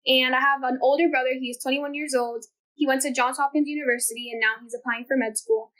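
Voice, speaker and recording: A teenage girl from Miami speaks in a bright, high register, earnest and eager as she explains things in long, quick runs of thought. She sounds like a student guest on a casual interview podcast. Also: fairly even intonation. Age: teens.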